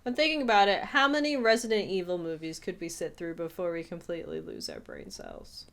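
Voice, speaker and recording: A woman's voice: on the low side, big pitch swings, fairly quick, a bit bright. A woman co-hosting a podcast, talking in a casual, conversational style. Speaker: podcast co-host. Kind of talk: casual chat.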